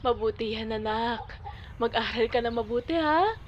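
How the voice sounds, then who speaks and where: High-voiced caring Filipino mother , acted by a woman. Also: normal speed, lo-fi sound, lightly acted. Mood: apologetic, loving. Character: affectionate, anxious Filipino mother working abroad. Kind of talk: audio drama dialogue.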